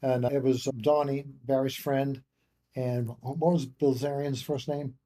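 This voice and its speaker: An older man in his seventies tells stories in a mellow, dark-toned voice at a middling pitch. He rambles and restarts as he works through a long anecdote as a podcast guest. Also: level tone, moderate pace. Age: 70s. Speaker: guest author. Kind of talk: casual interview storytelling.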